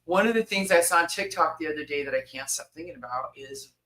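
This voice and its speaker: A man's voice: a little high, fast talker, pushed and bright. A man co-hosting a podcast, talking casually and emphatically, repeating phrases for stress. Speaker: podcast co-host. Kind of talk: casual conversation.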